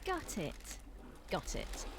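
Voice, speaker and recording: Video game investigator, voiced by a woman: suspicious, clipped lines delivered slowly, very noisy backdrop. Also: lightly acted. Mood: wary. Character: wary female investigator in a murder mystery game. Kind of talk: short game character voice barks.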